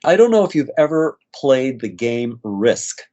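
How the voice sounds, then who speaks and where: A middle-aged man with a slight American accent, a nurse practitioner guest telling stories in a relaxed interview with frequent "uh" fillers. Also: medium pitch, unhurried, highs cut off. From United States. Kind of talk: conversational interview storytelling.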